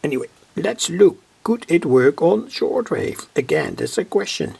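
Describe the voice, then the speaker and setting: A man with a Dutch accent and a creaky, gravelly voice, giving a casual, halting, unscripted demonstration as an electronics hobbyist. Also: a little high, sing-song, heavy background noise. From Netherlands. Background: shortwave radio playing stations.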